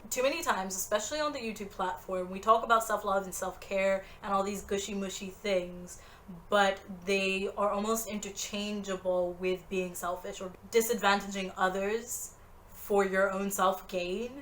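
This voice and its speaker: Young woman in her 20s giving an encouraging pep talk to camera, mid pitch, faint hiss behind. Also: fairly even intonation, normal speed, fairly bright tone, low-quality recording. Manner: earnest, encouraging, direct. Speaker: vlogger.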